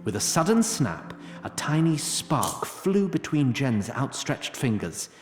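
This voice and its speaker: A man narrating a scripted fantasy story in a measured, literary way. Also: mid-range voice, sing-song, faint music behind. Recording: roomy sound, faint noise.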